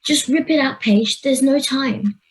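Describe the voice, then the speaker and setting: A woman's voice: high-pitched, measured pace, low-quality recording. An Australian girl of ten or eleven playing a decisive, bossy schoolgirl adventurer in an amateur radio play. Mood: urgent. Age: child, 10 to 11. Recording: home video-call recording.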